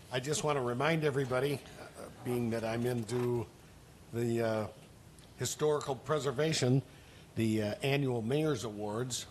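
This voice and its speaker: A man's voice: mid-range voice, a little mellow, slight background noise. An older man, a city council member, making a rambling announcement at a meeting. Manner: plain, rambling with fillers. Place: council chamber. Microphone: desk gooseneck mic.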